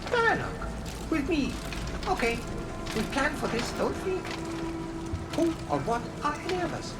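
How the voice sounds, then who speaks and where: Flighty high-voiced eccentric artist. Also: female voice, sing-song, measured pace, over loud music. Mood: breezy, aloof. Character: eccentric, scatterbrained, breezy automaton artist. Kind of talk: video game cutscene acting.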